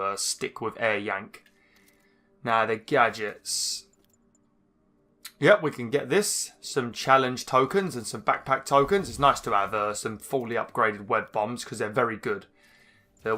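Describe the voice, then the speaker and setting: Slightly hoarse, chatty gaming man. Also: mid-range voice, sharp tone. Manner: chatty, rambling, with fillers. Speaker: gaming channel host. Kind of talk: casual let's-play commentary.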